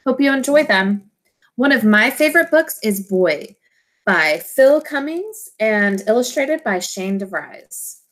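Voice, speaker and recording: A woman teacher reading a children's storybook aloud, expressively and in simple, deliberate phrases for young listeners. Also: a little high, expressive, a bit bright.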